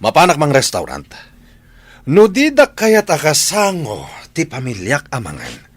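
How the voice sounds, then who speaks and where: A man acting a gruff, hot-tempered middle-aged father and husband in an Ilocano radio drama, curt and blustering, with an Ilocano Filipino accent. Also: fairly high pitch, huge pitch swings, a little slow, dry recording, exaggerated voice. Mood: furious.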